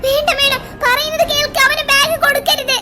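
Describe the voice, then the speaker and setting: A woman's voice: extremely high pitch, little pitch movement, a little fast, sharp tone, faint music behind. A woman voicing a defiant, distressed captive wife, urgent and imploring, in an amateur cartoon melodrama. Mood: frantic pleading.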